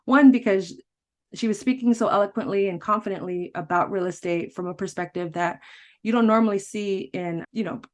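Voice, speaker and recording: Woman host, mid-pitched, easygoing and chatty, interviewing a guest. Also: fairly quick. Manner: chatty, rambling with restarts and frequent laughs. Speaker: podcast host. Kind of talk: casual interview chat.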